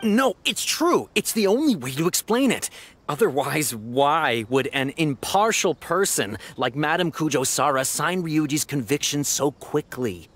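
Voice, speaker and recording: A man's voice: a little high, animated, unhurried, fairly bright tone. An actor playing an opinionated younger policeman in a video game, arguing his suspicions in an insistent, emphatic way. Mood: defensive. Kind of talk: video game voice acting, dialogue.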